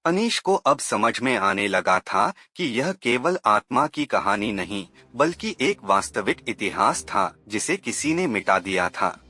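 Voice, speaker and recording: A man's voice: lowish voice, sing-song, a little slow, few pauses, bright, forceful tone. A man narrating a scripted horror story in a dramatic, suspenseful manner.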